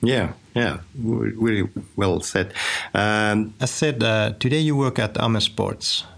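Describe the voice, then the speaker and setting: A man's voice: fairly low pitch, unhurried, vocal fry, a bit bright. A man hosting an interview, asking questions with some hesitant stumbles. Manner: hesitant, stumbling over words. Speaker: interview host.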